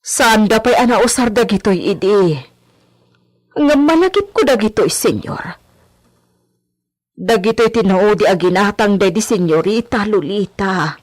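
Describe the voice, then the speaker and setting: A gossipy but respectful housemaid in an Ilocano radio drama, played by a woman. She has an Ilocano accent, a slow, exclamatory delivery and a mid-pitched voice, recorded close and dry. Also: lively intonation, no room sound, lightly acted. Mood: startled.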